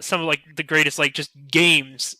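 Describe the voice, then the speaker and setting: A man giving relaxed, offhand opinions in a casual podcast discussion. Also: fairly high pitch, normal speed, dry recording.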